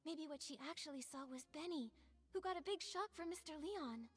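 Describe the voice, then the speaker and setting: A young game detective girl, really high voice, earnest and thinking it all through. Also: lively intonation, fairly quick. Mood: thoughtful. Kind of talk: video game voice acting.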